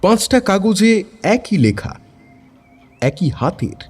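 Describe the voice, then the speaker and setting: A man's voice: high-pitched, big pitch swings, slow pace, a little mellow, faint music behind. A man narrating a scripted first-person detective story.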